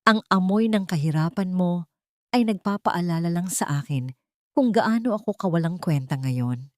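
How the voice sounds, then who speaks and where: A woman's voice: on the low side, lively intonation, normal speed, no echo, studio quality, lightly acted. Woman voicing a bitter, venomous rich man. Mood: bitter anger. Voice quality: hoarse.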